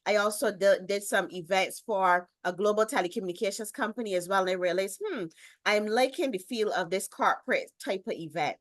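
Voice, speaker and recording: Barbadian woman guest, expressive, kinda dry close sound, video call. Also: medium pitch, fairly quick, no room sound. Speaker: guest, business lifestyle strategist. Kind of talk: interview, personal introduction.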